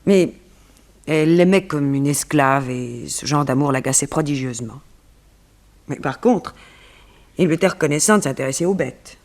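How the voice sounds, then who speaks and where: A woman's voice: low-pitched, normal speed, long pauses, a little mellow. An actress playing a beautiful, self-possessed woman under suspicion in a French radio detective serial, speaking formally and articulately. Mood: cool, confiding. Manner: articulate, composed, formal phrasing.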